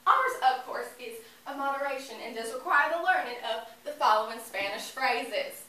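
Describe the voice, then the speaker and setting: A high, bright-voiced church lady, played by a young woman on stage, gushes sweetly and smugly in exaggerated comic style. She is officious and two-faced, heard from far off in a very echoey room. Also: lively intonation, normal speed. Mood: pompous, officious. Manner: syrupy, exaggerated, theatrical delivery. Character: gushing, two-faced small-town Southern church lady, officious and smug. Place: indoor competition room, recorded from audience distance. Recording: roomy, distant mic, faint noise.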